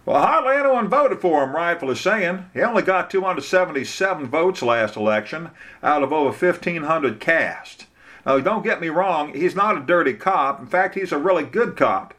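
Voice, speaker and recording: A man's voice: fairly high pitch, animated, moderate pace, sharp tone, voice pushed up. A man reading a story, voicing a folksy, blunt Southern lawyer who talks in an emphatic, colloquial way. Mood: emphatic, candid.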